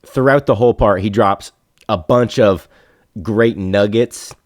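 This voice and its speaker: A man's voice: on the low side, unhurried, no echo, clean studio sound. A man hosting a podcast, asking questions in an easygoing way and narrating between segments. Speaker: podcast host and interviewer. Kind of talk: casual interview and voice-over narration.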